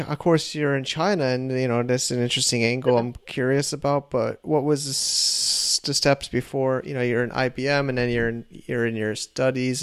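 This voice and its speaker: A man's voice: mid-range voice, clear, resonant tone. A man hosting a casual interview, asking rambling questions full of restarts. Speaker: podcast host.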